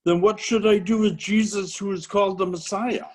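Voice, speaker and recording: A man's voice: high-pitched, fairly even intonation, moderate pace. A man playing a Roman governor in an amateur dramatic scripture reading, questioning in an emphatic, declamatory way over a video call. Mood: pressing, incredulous. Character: Pilate, male Roman governor, commanding and questioning. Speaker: amateur church reader.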